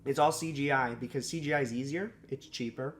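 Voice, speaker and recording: A young man with a slightly sore, raspy throat, giving unscripted first impressions of a movie, rambling with lots of fillers and self-corrections. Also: mid-range voice, fairly quick, a little hiss or hum. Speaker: movie reviewer.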